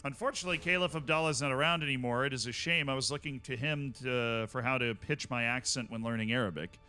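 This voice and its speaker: A man's voice: mid-range voice, fast talker, bright, forceful tone, clear, resonant tone, faint music behind. A man streaming a strategy game, chatting casually with wry asides to himself.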